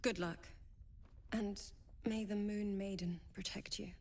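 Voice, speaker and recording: An actress playing a devout, spirited young cleric in a video game cutscene, speaking in an earnest, articulate and gently formal way. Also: female voice, medium pitch, steady tone, takes it slowly, gravelly. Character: devout, eloquent young female cleric, gracious but spirited.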